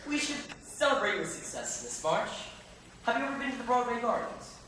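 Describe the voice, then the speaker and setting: A man's voice: very high voice, very animated, fairly quick. A very echoey, distant stage recording carries an awkward male professor, played by a student actor. He speaks in a stiff, formal and hesitant way, as in a period play. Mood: polite, earnest. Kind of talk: stage drama acting. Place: theater stage, distant microphone. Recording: very echoey hall, distant mic, faint noise.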